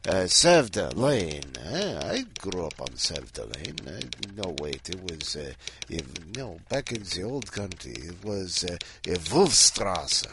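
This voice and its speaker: A man's voice: deep voice, very animated, takes it slowly, noisy background, full character voice. A man playing a comic three-hundred-year-old Count Dracula with a mock Eastern European accent, hesitant and digressive as he hosts. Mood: rambling, grumbling. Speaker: host of a weekly story challenge. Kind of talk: comic ad-libbed monologue.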